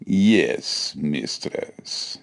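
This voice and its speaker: Man acting a hulking henchman, very deep voice, swooping intonation, formal menace, obedient servant, radio comedy drama. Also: expressive, very slow, gravelly, heavily acted. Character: hulking obedient male henchman, former prize fighter. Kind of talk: comedy audio drama in old radio manner.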